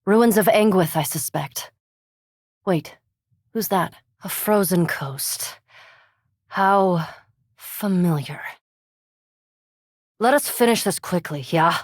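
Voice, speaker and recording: A woman's voice: fairly low pitch, takes it slowly, pauses often, dry recording, clean studio sound. A woman voicing a proud, sceptical vampire scholar in a fantasy video game, speaking formally and precisely with cool disdain. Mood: wary, intrigued.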